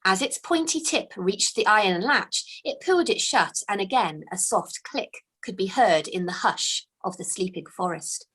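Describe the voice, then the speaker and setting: A woman reads a chapter of her own fantasy story aloud for young listeners. Her voice sits in a middle pitch. She keeps the telling slow and expressive, dropping to a hush for the suspenseful parts. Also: slightly rough. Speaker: author reading her book aloud. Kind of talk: storybook read-aloud narration.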